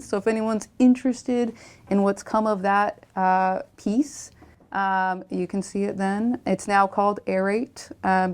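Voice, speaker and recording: A woman from a theater company makes a casual announcement to thank and inform. Her voice sits at a middle pitch, and she speaks informally. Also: a little mellow.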